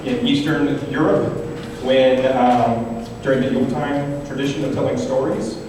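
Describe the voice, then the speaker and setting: A man's voice: medium pitch, little pitch movement, moderate pace. A man hosting a live show, giving a welcome speech to the audience as its producer. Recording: very echoey hall, distant mic, clear noise.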